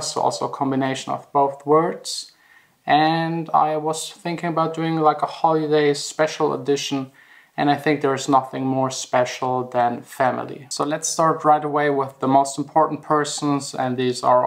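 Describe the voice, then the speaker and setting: A man's voice: medium pitch, gravelly. A young man from Germany with a German accent, narrating a personal vlog in an earnest, reflective way. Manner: earnest, reflective, plainly spoken. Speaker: vlogger, house DJ and music producer.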